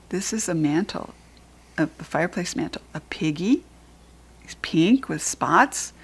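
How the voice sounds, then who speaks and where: An older American woman reads a picture book aloud for small children at a slow, unhurried pace. Her pitch sits in a middle female range. She teaches as she reads, naming things and putting simple questions to the listener. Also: breathy edge. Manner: instructive, asks simple questions and points things out. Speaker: children's read-aloud host and reading teacher.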